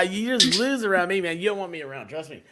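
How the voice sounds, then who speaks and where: A man's voice: sits high, lively intonation, fairly quick, bright, forceful tone. A man chatting casually as a livestream guest, rambling and self-deprecating. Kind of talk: casual chat.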